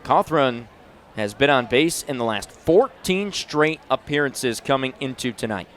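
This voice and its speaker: A man's voice: mid-range voice, expressive, measured pace, a bit bright. A man in his twenties doing live play-by-play sports commentary in short, punchy announcer phrases. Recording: noisy live venue sound.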